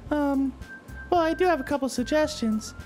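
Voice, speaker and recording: A woman voicing a shy, bookish teenage girl in a visual novel, polite and hesitant yet quietly proud. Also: high voice, moderate pace, lightly acted, poor audio. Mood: flustered. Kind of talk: visual novel character dialogue read aloud. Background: soft background music.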